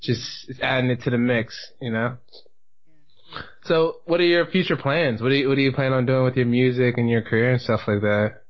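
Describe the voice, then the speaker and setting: A man co-hosting a podcast, asking questions in a relaxed, encouraging way. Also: medium pitch, moderate pace, muffled recording. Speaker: podcast co-host. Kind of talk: casual interview chat.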